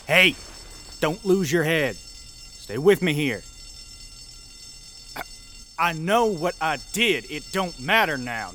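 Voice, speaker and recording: A man's voice: fairly high pitch, natural voice. Man acting a drawling Southern outlaw bank robber. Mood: desperate. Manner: drawling, folksy, coaxing with pet names. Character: scheming, volatile male bank robber, doting on his partner. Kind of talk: dramatic audio roleplay.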